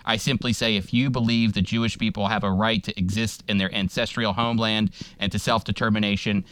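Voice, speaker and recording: A man's voice: fairly low pitch, steady tone, fairly quick, fairly bright tone, dry recording. A man hosting a radio interview, asking questions earnestly and enthusiastically. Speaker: radio show host.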